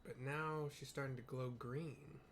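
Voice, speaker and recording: A man's voice: medium pitch, fairly even intonation, unhurried, a bit bright, a little hiss or hum. A man describes a video game scene as he plays, speaking casually and loosely. He often hesitates and restarts as he searches for words. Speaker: player narrating a game. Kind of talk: casual live audio description of gameplay.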